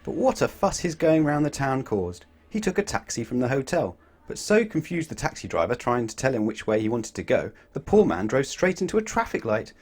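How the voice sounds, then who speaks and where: Animated man reading a children's storybook. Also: medium pitch, fairly quick, hardly any pauses, slightly rough. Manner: expressive, addresses young listeners with questions.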